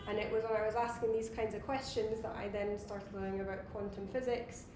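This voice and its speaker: Scottish woman, flat steady explaining voice. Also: mid-range voice, brisk, reverberant, over music. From Scotland. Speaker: watch designer, guest. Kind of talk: casual interview answers.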